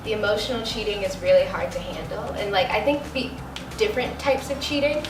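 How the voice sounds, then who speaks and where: A woman's voice: mid-range voice, animated, brisk. A woman in her twenties talking frankly and casually on a studio sofa, filler words throughout. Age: 20s. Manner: chatty, frank, many likes and you knows. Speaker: panel guest. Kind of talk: candid casual chat. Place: studio set with sofa, indoors. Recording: roomy, distant, music bed.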